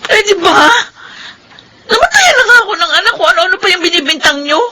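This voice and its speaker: A woman's voice: very high-pitched, very animated, fairly quick, bright, forceful tone, a little hiss or hum, full character voice. A woman playing a defiant, vengeful young mother in a Filipino radio drama, combative and indignant.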